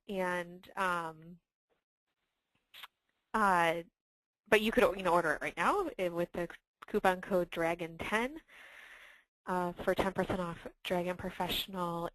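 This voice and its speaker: A woman hosting a webinar informally, with a hesitant, halting delivery. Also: fairly low pitch, fairly bright tone, no room sound, poor audio. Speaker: webinar host and moderator.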